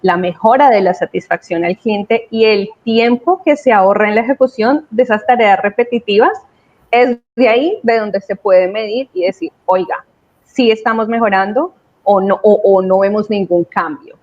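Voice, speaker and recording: A woman giving an explanatory business talk in deliberate, formal phrasing. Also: mid-range voice. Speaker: software company representative. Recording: dull, lo-fi online call audio.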